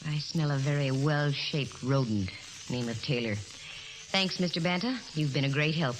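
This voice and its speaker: A woman's voice: low voice, measured pace, heavy background noise. A woman playing a confident, wise-cracking private eye in 1940s radio acting, wry and slangy. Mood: probing, wry. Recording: old 1940s radio recording, music behind.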